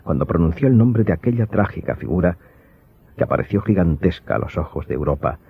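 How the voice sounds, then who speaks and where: A man's voice: sits low, animated, brisk, creaky, breathy edge, no room sound. A man narrating an audiobook drama from a script in a formal, literary manner. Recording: thin muffled phone-like sound, faint music.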